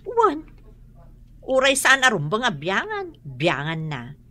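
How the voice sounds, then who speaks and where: A woman's voice: on the high side, wildly swooping pitch, slow pace, bright, forceful tone, dry recording, exaggerated voice. A woman with a Filipino accent playing a preoccupied, touchy mother in a radio drama. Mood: irritated.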